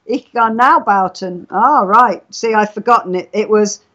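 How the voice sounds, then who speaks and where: A woman's voice: mid-range voice, a little slow, a bit bright. A woman chatting casually on a live stream, telling stories and explaining things in a chatty way. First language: English. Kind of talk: casual live chat, storytelling. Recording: dull lo-fi internet chat audio.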